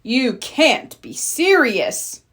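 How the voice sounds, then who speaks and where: Woman putting on a blustery, animated papa bear. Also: sits high, extremely slow, slightly rough, voice pushed up, exaggerated voice. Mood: indignant. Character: blustery father bear in a children's storybook.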